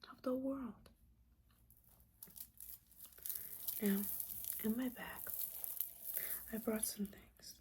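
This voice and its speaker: A mellow, hushed witch mentor acted by a woman scolds her student in a whispered ASMR roleplay. She is judgmental and sassy yet caring underneath, tossing in casual asides. A faint noise sits behind the voice. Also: on the high side, fairly even intonation, takes it slowly, poor audio. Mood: concerned, caring.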